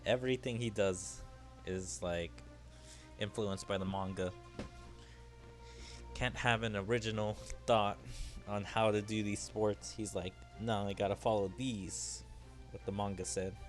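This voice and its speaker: A man hosting a gaming video, giving casual, low-key gameplay commentary with rambling asides. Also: fairly even intonation, measured pace, pauses often. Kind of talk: casual gameplay commentary, reading game dialogue aloud. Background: game music.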